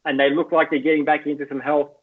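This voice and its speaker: A man's voice: a little high, level tone. Australian man on a tinny line. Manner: chatty and opinionated, fills pauses with "look" and "you know". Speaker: guest, basketball journalist. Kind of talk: casual podcast chat.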